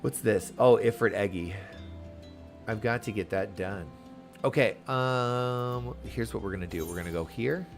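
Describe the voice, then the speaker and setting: A man's voice: lowish voice, measured pace, faint music behind. A man giving casual game commentary as a streamer, chatty, playful and easily sidetracked. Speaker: gaming streamer.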